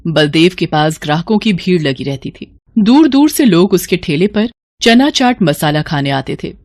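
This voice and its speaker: A woman's voice: fairly low pitch, very animated, moderate pace, darkish tone, dry recording, studio quality. A woman reading scripted moral-story narration as the storyteller.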